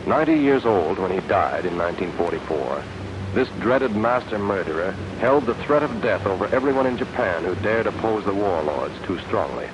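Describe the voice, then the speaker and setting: A man's voice: lowish voice, lively intonation, moderate pace. A man narrating a 1940s propaganda film with grave, emphatic, declamatory delivery. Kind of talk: 1940s scripted documentary narration. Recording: noisy 1940s film soundtrack.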